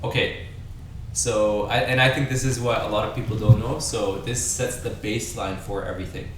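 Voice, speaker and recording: A young man from Austria in his twenties, hosting a casual podcast chat in a relaxed, laid-back way. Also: fairly low pitch, normal speed, recorded from a distance, a little hiss or hum. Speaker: podcast host. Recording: very echoey room, off-mic.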